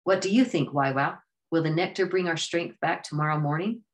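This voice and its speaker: A woman's voice: deep voice, a little fast, lightly acted. A woman voicing an exhausted, grieving young man on a hard journey, speaking in a weary, frustrated way. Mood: resolute.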